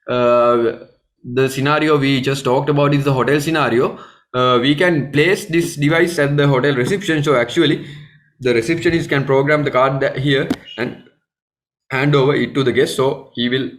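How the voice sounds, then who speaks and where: A man with a Sri Lankan accent giving a live webinar training as a technical trainer, explaining step by step in halting, repetitive phrases. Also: a little high.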